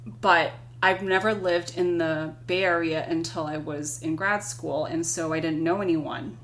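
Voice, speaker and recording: A non-binary person in their thirties, a podcast guest, speaks earnestly and reflectively, telling a personal story in a measured way. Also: medium pitch, fairly even intonation, a bit bright, slight background noise. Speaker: podcast guest, climbing guide. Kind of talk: conversational interview.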